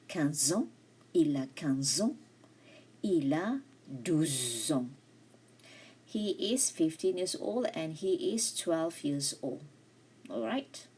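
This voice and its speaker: A woman teaching beginner French over slides, speaking English with a Malaysian accent, slowly repeating and modelling each phrase. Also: low voice, a little mellow, breathy edge. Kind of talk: recorded lecture over slides.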